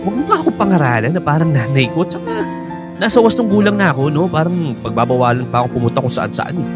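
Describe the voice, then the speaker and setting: A Filipino man acting a brash, cheeky high school student of about twenty in a radio drama. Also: sing-song, quick pace. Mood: relaxed, friendly. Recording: music under the voice.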